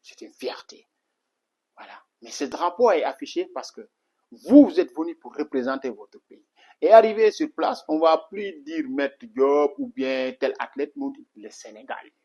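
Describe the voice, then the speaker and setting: A man's voice: fairly high pitch, expressive, measured pace, warm tone, breathy edge. Earnest middle-aged Senegalese man. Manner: earnest, repetitive, many restarts and "voilà" fillers. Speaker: martial arts instructor. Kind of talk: spoken interview answer.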